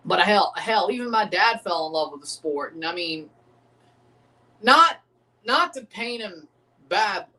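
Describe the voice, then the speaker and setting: A man's voice: sits high, expressive, unhurried, pauses often, bright, forceful tone, recorded from a distance, rough recording. A man, hosting a casual livestream reaction and rambling profanely while second-guessing himself. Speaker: livestream host reacting to a match.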